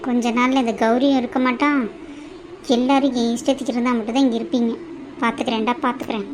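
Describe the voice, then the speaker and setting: A haughty young daughter-in-law from a family melodrama acted by a woman, with a high-pitched voice. She is indignant and resentful, full of contempt and veiled threats. There is faint noise behind her. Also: fairly quick, lightly acted, rough recording. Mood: scheming, menacing.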